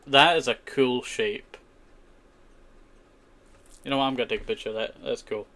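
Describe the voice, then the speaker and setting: A male game streamer from Scotland, a native Scottish Gaelic speaker, talks in a medium-pitched voice. He rambles casually through his commentary, echoing his own short phrases as he chats to viewers. Clear noise sits behind the voice. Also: normal speed, a bit bright. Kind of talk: casual live gaming commentary with chat.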